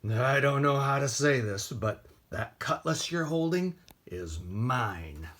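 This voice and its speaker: A man voicing a polite, bargaining sea captain from a storybook, measured and earnest. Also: mid-range voice, expressive, fairly bright tone.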